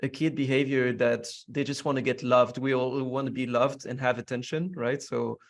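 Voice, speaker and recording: Flat-voiced French man, reflective. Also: mid-range voice, moderate pace. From France. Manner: reflective, curious, frequent fillers. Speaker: podcast guest. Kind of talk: casual interview answers.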